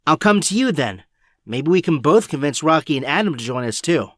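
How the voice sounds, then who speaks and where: A man's voice: fairly high pitch, very animated, brisk, no room sound, clean studio sound. A young man playing an anxious, earnest teenage boy hero in an audio drama, urgent and a little breathless. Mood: determined.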